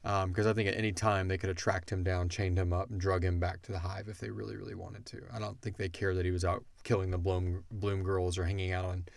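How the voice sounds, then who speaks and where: A man hosts a casual question and answer session in a fairly low voice. He explains things in a loose, rambling, informal way. The sound is dry, with little room on it. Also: little pitch movement, fast, gravelly, few pauses, dry recording. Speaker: podcast host. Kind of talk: casual Q and A discussion.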